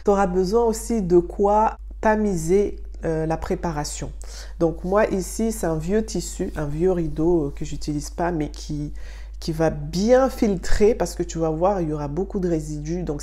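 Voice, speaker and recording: A young woman from Mayotte talks her viewers through a home recipe in a relaxed, friendly way. Her voice sits a little low and sounds soft and mellow, and she often pauses with small hesitations as she explains. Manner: friendly, addresses viewer directly, frequent "euh" pauses. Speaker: wellness channel host. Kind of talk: casual tutorial talking to camera.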